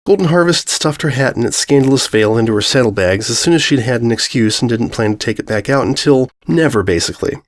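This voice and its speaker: Male storyteller, mid-pitched voice, for scripted fiction narration. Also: animated, fast talker, hardly any pauses.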